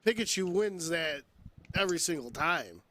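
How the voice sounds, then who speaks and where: A man's voice: on the high side, lively intonation, moderate pace, pushed and bright. A man hosting a podcast with casual, playful banter and teasing, recorded close to the microphone. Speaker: podcast host.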